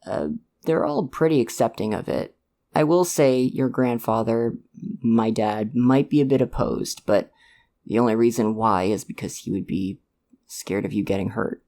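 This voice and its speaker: A man playing a supportive, gently protective dad in an ASMR roleplay, speaking in a hesitant, earnest, reassuring way to the listener. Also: lowish voice, moderate pace, creaky. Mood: gentle, concerned. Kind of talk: ASMR roleplay, one-sided conversation with listener.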